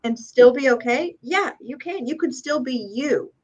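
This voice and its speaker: A woman's voice: high voice, expressive, darkish tone. Upbeat woman giving advice in casual chat. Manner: upbeat, talks in quick asides with frequent "right?". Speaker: real estate agent guest.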